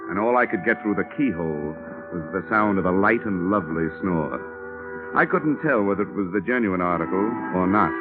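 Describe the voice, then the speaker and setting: An actor playing a wry, wisecracking insurance investigator who narrates a 1950s radio detective drama. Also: male voice, lowish voice, natural voice. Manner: wry, wisecracking, hard-boiled. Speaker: lead and narrator. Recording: tinny old radio broadcast with music.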